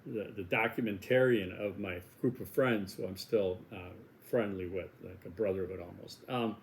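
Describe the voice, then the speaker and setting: A man's voice: on the low side, normal speed, gravelly, slight background noise. A man in his sixties from Milwaukee with a Wisconsin accent, a college instructor chatting casually in a course welcome video, rambling and joking at his own expense. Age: 60s. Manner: rambling, chatty, self-deprecating jokes.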